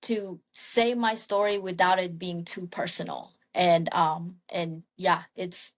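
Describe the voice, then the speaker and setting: A woman's voice: fairly low pitch, steady tone, slow pace. A young woman from the Philippines, a singer and guest, talking casually in an interview with frequent ums and restarts. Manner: soft-spoken, frequent ums and restarts, light laughs.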